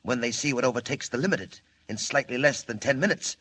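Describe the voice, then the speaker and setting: Man acting a cold, sharp-voiced criminal mastermind, the Wolf. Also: medium pitch, slight background noise, close to an everyday voice. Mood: cold, ominous. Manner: slow, deliberate, formal, coolly threatening. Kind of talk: 1940s radio serial acting.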